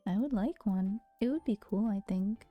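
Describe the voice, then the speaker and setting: A woman streamer chatting casually in a soft, gentle, often half-whispered voice, with playful teasing and giggles, speaking close to the mic. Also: medium pitch, moderate pace, lo-fi sound, faint music behind. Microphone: close to a regular mic.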